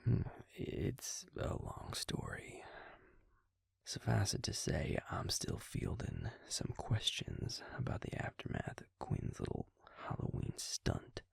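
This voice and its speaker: A man playing a worried, protective, gruff but caring vampire in an intimate audio roleplay, with a breathy, gravelly murmur and a halting, earnest, pleading manner. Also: sits low, wildly swooping pitch, no echo, in a whisper, studio quality, heavily acted. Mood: apologetic, weary.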